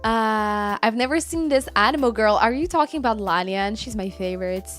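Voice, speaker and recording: A young woman in her early twenties with a fairly high voice, livestreaming a game. She talks casually and chattily, answering viewers, with faint music behind her. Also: expressive, moderate pace, fairly bright tone. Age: early 20s. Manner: chatty, reading and answering viewer messages aloud. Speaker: game streamer.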